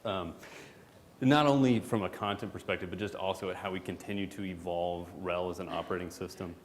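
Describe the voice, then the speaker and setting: A man giving a relaxed, joking conference talk as a product manager, full of fillers. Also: low-pitched, fairly quick. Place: conference hall. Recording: hall reverb, faint background noise.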